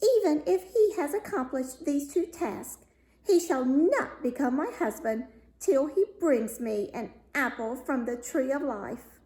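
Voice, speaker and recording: Proud young storybook princess acted by a woman, cold, commanding, raised pitch. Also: animated, unhurried, mellow, reverberant, rough recording. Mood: haughty, defiant. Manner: haughty, commanding.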